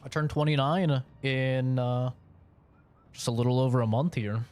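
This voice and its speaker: A man's voice: medium pitch, steady tone, moderate pace, a little mellow. A man in his late twenties chatting casually while he streams a game, rambling frankly with lots of fillers. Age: late 20s. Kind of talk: casual chat while gaming.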